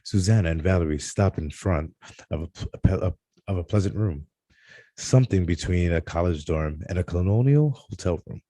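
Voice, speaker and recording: A man narrating screenplay stage directions at a table read, in a halting delivery that stumbles over words. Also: sits low, dark tone, dry recording.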